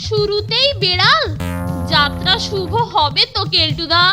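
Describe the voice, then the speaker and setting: Squeaky, whiny cartoon schoolboy. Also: female voice, lively intonation, unhurried, music in the background. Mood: doubtful.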